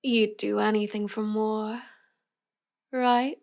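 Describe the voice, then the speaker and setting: A woman's voice: mid-range voice, flat delivery, vocal fry, a little mellow. A woman playing a cute, teasing young villainess in an intimate roleplay audio, drawing out her words as she mocks the listener. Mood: teasing, mocking. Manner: slow, teasing delivery with drawn-out words and giggles. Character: cute, teasing, mocking young villainess with mind-control powers.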